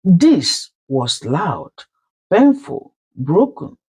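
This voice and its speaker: A storyteller with a Nigerian accent narrating a folktale in an emphatic, theatrical manner with dramatic pauses. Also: mid-range voice, very animated, a little mellow, breathy edge.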